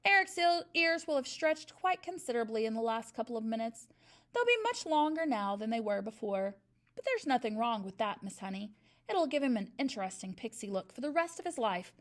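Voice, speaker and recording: A woman's voice: on the high side, big pitch swings, a little fast. Woman putting on a bellowing bully headmistress. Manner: loud, barking, snapping. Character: huge bullying woman headmistress, furious, barking.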